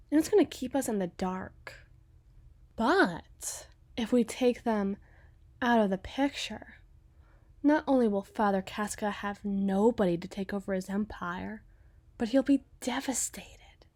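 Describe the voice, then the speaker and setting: A woman playing a cool, scheming, seductive mob boss in an ASMR roleplay, with a deliberate, theatrical delivery that often sinks toward a whisper. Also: mid-range voice, darkish tone, dry recording. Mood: scheming, conspiratorial.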